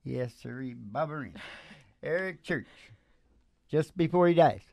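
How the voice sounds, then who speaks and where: A man's voice: on the high side, animated, unhurried, vocal fry, darkish tone, no room sound, studio quality. A man in his sixties chatting casually and jokingly in a folksy way between songs, as a performer hosting a show. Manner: folksy, playful, jokey. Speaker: singer-guitarist and radio show host. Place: small indoor stage with curtain. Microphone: stand microphone, close.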